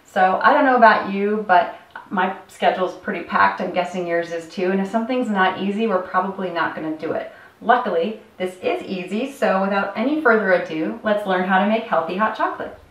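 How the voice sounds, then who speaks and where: A woman's voice: medium pitch, a little fast. Upbeat woman coach in an echoey kitchen. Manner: upbeat, instructional, addresses viewer directly. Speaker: health coach. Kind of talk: cooking demonstration. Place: home kitchen, indoors. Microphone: camera mic at a distance. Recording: roomy kitchen from a distance.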